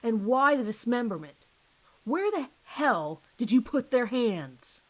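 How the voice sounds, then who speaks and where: A woman's voice: a little high, big pitch swings, slow, full character voice, poor audio. Horror drama interrogator: hard-nosed male detective voiced by a woman, blunt, pressing, sardonic. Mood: accusing, forceful. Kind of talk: audio horror drama reading.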